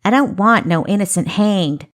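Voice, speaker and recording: A woman's voice: medium pitch, animated, normal speed, voice pushed up, close to an everyday voice. A bold, scruffy young woman passing as a dockside boy acted by a woman narrator in an audiobook. The speech is rustic and ungrammatical, plain and unpolished. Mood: earnest protest.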